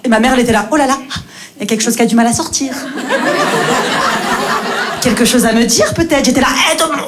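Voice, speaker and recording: A young woman on stage tells comic stories about her family and slips into her parents' voices. Her speaking voice sits slightly high, and her delivery is relaxed and conversational. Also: quick pace, a crowd in the background. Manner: storytelling, mimics her parents. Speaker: stand-up comedian. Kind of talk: stand-up comedy set. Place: comedy club stage.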